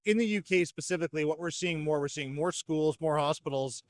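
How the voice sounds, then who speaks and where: A man with a fairly high voice explains products in an articulate, orderly way. The sound is dry and close, like a company representative being interviewed. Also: fairly quick, no room sound. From North America. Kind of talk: fluent product explanation at a trade show. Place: trade show exhibition hall.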